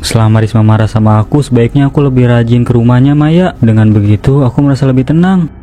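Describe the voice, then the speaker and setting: A woman's voice: very deep voice, quick pace, dark tone, faint music behind. A woman voicing a cursed cheating husband. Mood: scheming. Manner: panicked, breaks into cat and dog noises. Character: cheating young husband cursed to meow and bark.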